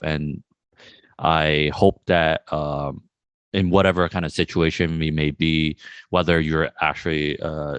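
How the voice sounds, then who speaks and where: A man's voice: extremely low pitch, clear, resonant tone, no echo. A man hosting a podcast, asking long, rambling questions filled with "like" in a casual conversational tone. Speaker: podcast host.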